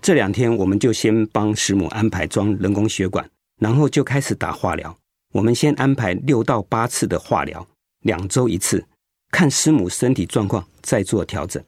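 An actor playing a kind, steady male oncologist in a radio drama, explaining things in a reassuring way. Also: fairly low pitch, moderate pace, husky, no room sound, clean studio sound. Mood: encouraging. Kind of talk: Mandarin radio drama acting.

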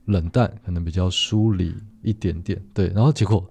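A man's voice: sits low, fairly even intonation, moderate pace, creaky, dark tone. A man telling a personal story as an interview guest, tentatively rephrasing as he goes. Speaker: guest actor. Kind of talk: casual anecdotal interview talk.

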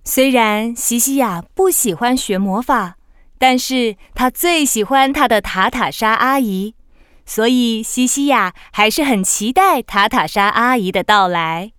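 A woman's voice: on the high side, measured pace, fairly bright tone, clean tone, no echo, studio quality. A woman narrator reading a children's story as scripted narration. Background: music.

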